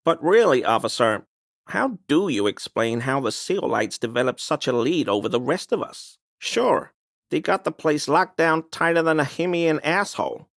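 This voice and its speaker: A man's voice: fairly high pitch, expressive, moderate pace, creaky, a bit bright, no room sound, clean studio sound. An actor playing a smug, conspiracy-minded man in a video game, speaking in an insistent, knowing, insinuating way. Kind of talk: video game dialogue voice lines.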